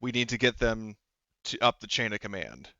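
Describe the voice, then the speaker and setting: Male game master, casual and explanatory, smooth delivery, dry but slightly muffled recording. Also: lowish voice, expressive, moderate pace, sharp tone, dry recording. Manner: explanatory, hedging with frequent "like". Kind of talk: casual tabletop roleplaying talk.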